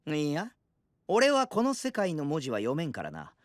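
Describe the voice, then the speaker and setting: Cocky, animated young anime hero. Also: male voice, a little high, normal speed, no room sound, studio quality. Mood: grumbling. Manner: rough, arrogant, casual. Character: cocky, self-important, grumbling young male hero. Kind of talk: Japanese anime audio drama acting.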